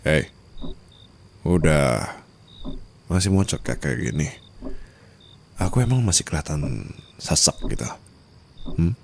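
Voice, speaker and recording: A man's voice: a bass voice, big pitch swings, takes it slowly, gravelly, pauses often, slight background noise, full character voice. A man playing a dominant, teasing rich husband in an intimate ASMR roleplay, taunting and possessive, mixing Indonesian with English. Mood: smug teasing.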